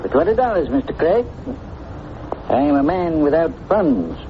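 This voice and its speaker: A man's voice: a little high, sing-song, unhurried. A man playing a folksy, crotchety, penniless former town recorder in an old-time radio drama. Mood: wry, cooperative. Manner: folksy, grumbling, leisurely phrasing. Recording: muffled vintage radio recording, faint noise.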